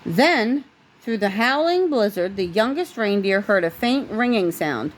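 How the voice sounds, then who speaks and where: A woman who is a parent reading a storybook aloud in an expressive way, with casual asides. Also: mid-range voice, unhurried, rough recording.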